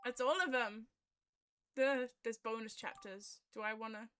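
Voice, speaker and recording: Bright, high-pitched woman streaming. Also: fairly even intonation, a little slow, clean tone. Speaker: streamer, indie vtuber. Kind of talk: casual livestream chat with viewers.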